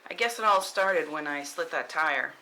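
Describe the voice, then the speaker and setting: A woman's voice: sits low, expressive, normal speed. Woman playing frazzled thirtysomething mom out for payback, wry, short film table read, pretty noisy recording w clicks. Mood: brooding confession.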